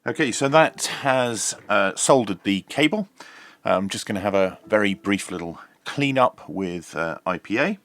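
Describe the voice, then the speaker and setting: A man's voice: fairly low pitch, animated, unhurried, a bit bright. A man presenting an unscripted, hands-on tutorial, speaking in hesitant, piecemeal phrases with frequent ums. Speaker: presenter of radio review and repair videos.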